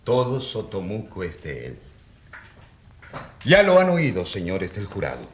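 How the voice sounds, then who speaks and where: A man's voice: low voice, wildly swooping pitch, a little slow, heavily acted. An actor playing an earnest country priest in his thirties, pleading with rhetorical force in a radio drama. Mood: impassioned. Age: 30s. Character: impassioned rural priest of 34, defending poor peasants. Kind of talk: radio drama courtroom oratory. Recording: roomy echo.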